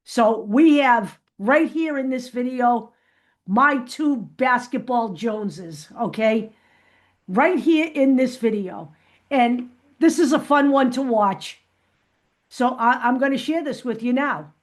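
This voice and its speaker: A woman's voice: on the high side, lively intonation, slow pace. A woman hosting a fan vlog, talking casually to viewers and making her points emphatically, often checking them with "okay?".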